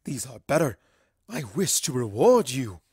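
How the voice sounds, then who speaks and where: Woman playing a tipsy, grandiose low-voiced pony queen. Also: very animated, a little slow, warm tone, husky, no room sound, clean studio sound, exaggerated voice. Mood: grandiose, drunk. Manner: pompous, theatrical, drunken. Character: Celestia, grandiose, tipsy regal mother pony princess. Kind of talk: acted fan fiction dialogue.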